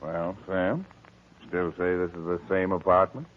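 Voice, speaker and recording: An actor playing a deliberate, skeptical police lieutenant, measured and pointed, in 1940s radio style. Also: male voice, deep voice. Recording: tinny, noisy old radio recording.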